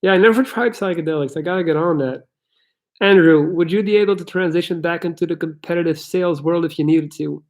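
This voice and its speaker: A man's voice: fairly high pitch, quick pace. Blunt Belgian man with an American-sounding accent. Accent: American-sounding English. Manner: blunt and candid, loose with swearing. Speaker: livestream host answering chat questions. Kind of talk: casual live Q&A chat. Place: his parents' house.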